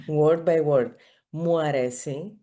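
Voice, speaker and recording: A woman's voice: low-pitched, animated, slow pace, dark tone. A woman with a Greek accent, a native Greek speaker, hosting a casual, playful interview. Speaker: show host.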